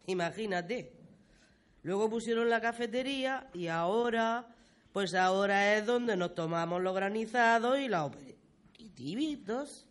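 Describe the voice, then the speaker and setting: A woman's voice: medium pitch, close to an everyday voice. A woman playing a proud village grandmother reminiscing in a radio play, with a Murcian accent and a folksy, exclamatory way of talking. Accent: Murcian Spanish. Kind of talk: radio play storytelling dialogue.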